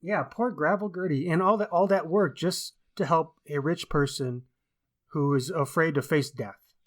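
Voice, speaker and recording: A man's voice: a little high, moderate pace. A man co-hosting a casual book discussion, speaking in an opinionated, emphatic way and repeating words for stress. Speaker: podcast co-host and book reviewer.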